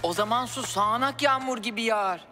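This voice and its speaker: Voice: medium pitch, a little fast, over loud music, natural voice. An actor voicing an earnest, admiring young boy adventurer in a children's cartoon, with a sincere, respectful manner. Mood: respectful, hopeful. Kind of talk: animated children's cartoon dubbing.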